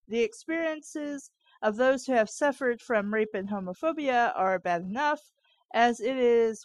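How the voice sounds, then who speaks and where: A woman's voice: a little high, no room sound. A woman co-hosting a fan fiction read-aloud, breaking off into baffled, informal asides. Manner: incredulous, informal, interjecting asides.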